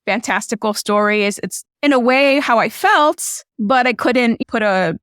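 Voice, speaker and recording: A woman from Illinois who grew up speaking Spanish talks about her life as a filmmaker guest. Her voice sits fairly high and sounds smooth and clear. She rambles casually, leaning on "you know" and "like." The sound is dry and close. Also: expressive, unhurried, a bit bright, no room sound. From Illinois, USA. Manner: chatty, frequent "you know" and "like" fillers. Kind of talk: casual conversational storytelling.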